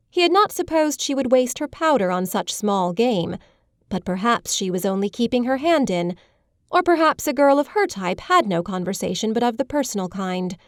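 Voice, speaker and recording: A woman narrating a literary audiobook in a measured, polished storytelling manner. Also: on the high side, no room sound, clean studio sound.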